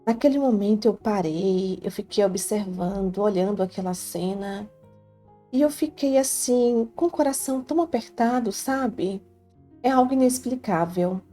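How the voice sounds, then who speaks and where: A woman's voice: mid-range voice, normal speed, dark tone. A woman with a Brazilian Portuguese accent telling a life story in the first person, in an earnest, heartfelt, confiding tone. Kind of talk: first-person scripted narration. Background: faint background music.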